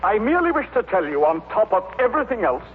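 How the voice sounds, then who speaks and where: Hard-nosed pompous businessman, fairly high animated voice, formal and indignant, tinny vintage radio. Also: fast talker. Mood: stiff suspicion. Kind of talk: 1930s-40s radio acting. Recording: tinny, noisy old radio recording.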